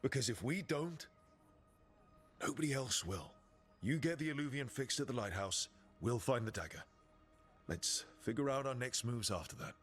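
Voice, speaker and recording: A man's voice: medium pitch, lively intonation, moderate pace, long pauses, fairly bright tone, husky. A man playing a resolute, plain-spoken heroic leader in a video game. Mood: determined. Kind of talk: video game dramatic acting. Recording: game music under the voice.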